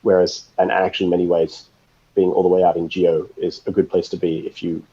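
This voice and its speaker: A man being interviewed, speaking casually and haltingly as he thinks aloud, with wry, informal asides. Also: deep voice, level tone, dark tone, breathy edge, lo-fi sound. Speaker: interview guest, software systems architect.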